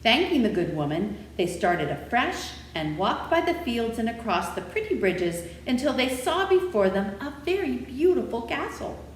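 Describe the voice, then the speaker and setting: A woman reads a children's story in a lively, expressive manner. Her voice sits a little high and varies a lot in pitch. It was recorded in an echoey room with faint noise behind. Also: normal speed, hardly any pauses. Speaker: library storytime reader. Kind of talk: animated children's story reading.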